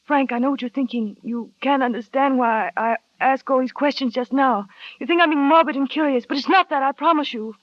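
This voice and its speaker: Actress as a nervous young wife, hesitant and earnest, dry muffled old radio sound. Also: female voice, sits high, fairly quick, few pauses, no echo, close to an everyday voice. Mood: anxious. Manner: hesitant, earnest, wistful narration. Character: shy, anxious young wife, curious and insecure. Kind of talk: 1940s radio acting. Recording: dry, dull vintage radio recording.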